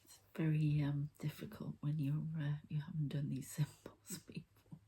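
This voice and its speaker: A woman whispering gently and breathily as she hosts an unhurried, hushed ASMR energy-healing session. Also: sits low, little pitch movement, husky. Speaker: ASMR host and energy healer.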